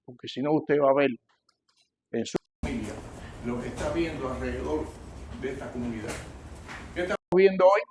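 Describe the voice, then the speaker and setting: An older man with a Cuban Spanish accent preaching to a congregation, emphatic and repeating phrases, swinging between loud and hushed delivery. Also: medium pitch, moderate pace, pauses often, slightly rough. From Cuba. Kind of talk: sermon, teaching a church congregation.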